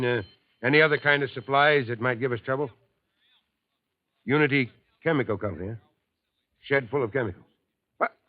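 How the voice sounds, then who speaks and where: An actor playing a clipped, businesslike fire chief in a 1950s radio drama, old recording. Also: male voice, mid-range voice, lo-fi sound, lightly acted. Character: brisk fire chief on the phone, middle-aged man.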